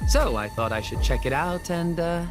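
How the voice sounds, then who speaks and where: A man's voice: on the high side, very animated, moderate pace. A man playing a breezy, teasing young male villain with a flippant manner in a video game cutscene. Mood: casual, curious. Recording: music over the voice.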